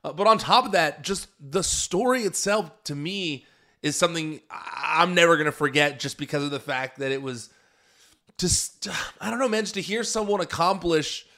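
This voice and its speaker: A man's voice: fairly high pitch, moderate pace, bright, forceful tone. A man hosting a podcast alone, introducing a best-of episode in an earnest, rambling way with frequent restarts. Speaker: podcast host.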